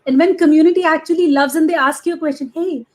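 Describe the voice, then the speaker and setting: A woman's voice: sits high, steady tone, quick pace, a little mellow. A woman talking casually as an interview guest, emphatic and effusive, repeating phrases for emphasis. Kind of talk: casual conversational talk.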